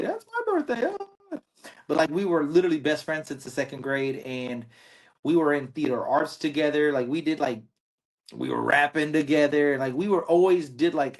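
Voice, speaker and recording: Man in his 30s, podcast host, slightly high voice, relaxed and slangy, a bit boastful. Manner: laid-back, boastful, lots of slang. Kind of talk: casual podcast chat.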